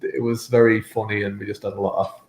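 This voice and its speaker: Low-voiced Mancunian man in an echoey room. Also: little pitch movement, quick pace, a little mellow, slight background noise. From England, Manchester. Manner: rambling, self-deprecating, laughs often. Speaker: game show contestant, guest. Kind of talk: casual interview chat.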